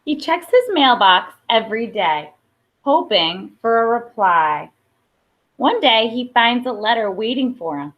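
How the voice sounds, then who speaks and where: A woman reading a children's picture book aloud expressively, with pauses for emphasis and small asides. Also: fairly high pitch, sing-song. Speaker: storybook reader. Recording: dull, lo-fi sound.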